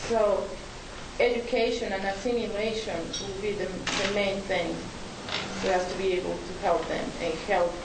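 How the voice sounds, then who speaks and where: A woman with a Mexican Spanish accent speaks earnestly at a forum, often pausing and restarting. Her voice carries from a distance in a roomy hall, with steady hiss underneath. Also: medium pitch. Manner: earnest, hesitant, many restarts. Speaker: forum presenter. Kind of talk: public talk at a forum.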